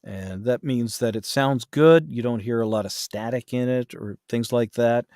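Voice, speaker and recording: A man's voice: medium pitch, normal speed, darkish tone, dry recording, clean studio sound. A man in a casual interview as a podcast guest, explaining things in a hesitant, self-correcting way. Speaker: podcast guest, voice actor and audiobook narrator.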